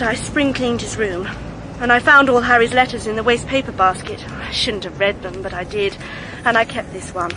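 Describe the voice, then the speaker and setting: A high, clipped British female voice over clearly noisy street ambience. An actress plays an earnest young woman in a radio drama, indignant and emphatic as she confides old secrets. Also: natural voice. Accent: British English.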